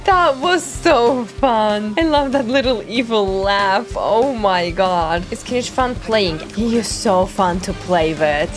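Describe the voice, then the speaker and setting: A woman in her twenties giving casual, expressive reaction commentary as a game streamer. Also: medium pitch, slow pace, hardly any pauses. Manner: excitable, expressive. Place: small furnished bedroom, indoors. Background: game music.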